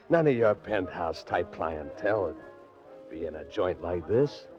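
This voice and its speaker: Small-time gangster, very low, unhurried, American accent, slangy, mocking, old-time radio drama, dull recording. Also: male voice, big pitch swings, music in the background. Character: cocky, mocking small-time hoodlum man. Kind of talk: 1940s radio crime drama acting. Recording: muffled 1940s radio recording.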